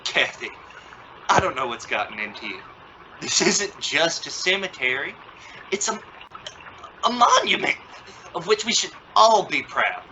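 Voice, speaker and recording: A man's voice: on the high side, sing-song, measured pace, long pauses. A man playing an exasperated, sarcastic middle-aged father in an old-time radio sitcom, flustered and bluffing confidence. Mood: exasperated, proud. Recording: dull, noisy lo-fi radio play recording.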